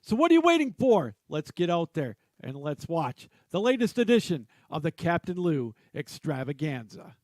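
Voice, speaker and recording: Male announcer with a high voice, dry studio-like sound, scripted show opener. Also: sing-song, moderate pace, few pauses, a little mellow, no room sound. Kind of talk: scripted promotional intro read.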